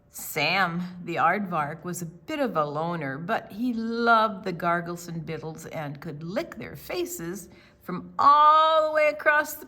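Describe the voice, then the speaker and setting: A woman reads a picture book to young children as a storytime host. Her voice sits a little low and swings widely in pitch. She takes her time, shaping each phrase theatrically and deliberately. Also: a bit bright.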